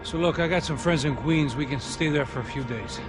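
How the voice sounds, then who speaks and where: An actor playing an easygoing, loyal private security partner with a casual, friendly, slangy way of talking. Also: male voice, a little high, a little fast, close to an everyday voice. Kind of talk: video game cutscene dialogue. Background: game score music.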